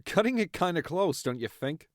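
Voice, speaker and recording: A man's voice: a little high, animated, normal speed, lightly acted. A man playing a frustrated, sardonic gamer in his late twenties, dry and grumbling, in an audio drama. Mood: annoyed. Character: frustrated, sardonic 28-year-old male gamer in wheelchair.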